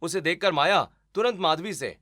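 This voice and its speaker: Bright-voiced man narrating a family story. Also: on the high side, lively intonation, normal speed, no room sound, studio quality. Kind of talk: scripted dramatic storytelling narration.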